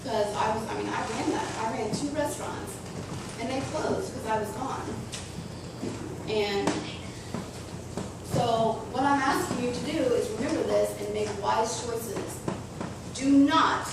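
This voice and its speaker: Raspy woman in an echoey hall. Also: fairly high pitch. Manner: earnest, slow and deliberate with children. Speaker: guest speaker to schoolchildren. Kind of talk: personal talk on choices. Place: school hall. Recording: echoey room, distant mic, noisy.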